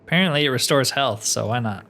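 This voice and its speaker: Guy doing a chill lets play, medium pitch, chatty, thinking out loud, faint game music behind. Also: lively intonation, fast talker. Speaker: gaming YouTuber playing a fantasy roleplay game. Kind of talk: casual let's-play commentary.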